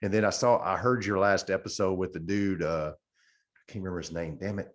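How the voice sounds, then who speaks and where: A man in his forties with a deep, low voice co-hosts a podcast. He speaks in loose, informal chat, sprinkling in dude and man like someone talking to buddies. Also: fairly even intonation, a little fast. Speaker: podcast co-host, active-duty service member. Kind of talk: casual chat.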